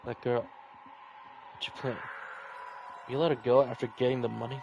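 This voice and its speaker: A man's voice: mid-range voice, flat delivery, moderate pace, gravelly, dull audio, noisy background. A man reading a visual novel aloud in an informal, chatty playthrough style, rambling a little. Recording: music playing behind the voice. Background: background music.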